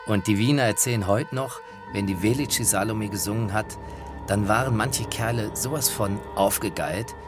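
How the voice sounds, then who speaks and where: Low-voiced man telling opera anecdotes. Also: normal speed, music in the background. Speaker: music journalist presenting opera anecdotes. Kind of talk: anecdotal spoken narration.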